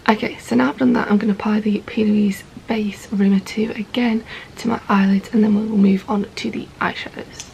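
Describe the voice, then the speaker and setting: A woman with a croaky voice calmly narrating a makeup tutorial step by step. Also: mid-range voice, a little fast, few pauses, slight background noise, poor audio. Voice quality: gravelly. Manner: calm, methodical, narrates each step as she goes. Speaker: makeup vlogger.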